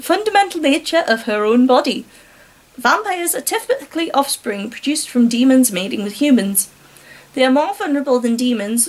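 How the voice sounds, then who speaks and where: A woman hosting a casual story reading, mixing narration with chatty, wry and mocking commentary. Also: high-pitched. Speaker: host reading amateur fiction aloud.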